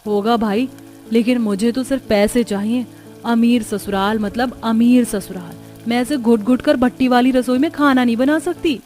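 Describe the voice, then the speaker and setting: High female voice, actress as impatient young elder sister, grumbling, emphatic, cartoon drama, music underneath. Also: steady tone, moderate pace, a little mellow, close to an everyday voice. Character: money-hungry young village elder sister, impatient, complaining. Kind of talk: Hindi cartoon story dubbing.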